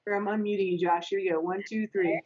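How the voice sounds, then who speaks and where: A woman's voice: medium pitch, fairly even intonation, quick pace, darkish tone, slightly rough. A middle-aged woman acting as stage manager, reading stage directions and plainly instructing readers in an informal way. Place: small home office. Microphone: video call, computer microphone. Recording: dull, lo-fi video call audio.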